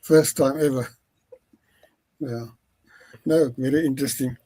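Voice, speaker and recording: Halting, formal man hosting a podcast. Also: medium pitch, fairly even intonation, warm tone. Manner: polite, halting with many fillers. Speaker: podcast host.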